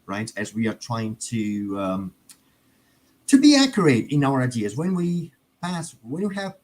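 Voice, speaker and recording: A man of ordinary pitch who hosts a relaxed panel discussion. He leads the conversation with questions and habitually checks in with "right?" after his points. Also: breathy edge. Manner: guiding questions, tag "right?". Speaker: discussion host.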